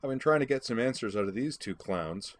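A man's voice: medium pitch, animated, a little fast, voice pushed up, lightly acted. A man voicing a level-headed woman pilot in a storybook, with a dry, questioning, matter-of-fact manner.

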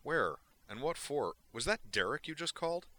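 A laid-back young man, caught off guard and quizzing everyone in a raised, wondering voice, voiced by a male reader. The pitch floats above the reader's deep base. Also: big pitch swings, normal speed, bright, forceful tone, no echo. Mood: puzzled. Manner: incredulous, questioning.

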